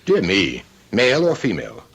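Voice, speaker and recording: An actor playing a fussy, aloof, wealthy middle-aged bachelor in a radio drama, speaking with pompous precision and dry wit. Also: male voice, lowish voice, wildly swooping pitch, moderate pace, vocal fry, a bit bright, slightly rough, heavily acted. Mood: wary, haughty. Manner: pompous, precise, drily witty. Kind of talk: old-time radio drama acting.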